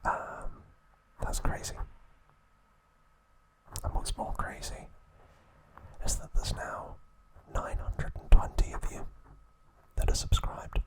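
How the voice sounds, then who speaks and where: Guy breathy whispering asmr, real slow and soft. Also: poor audio. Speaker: ASMR channel host.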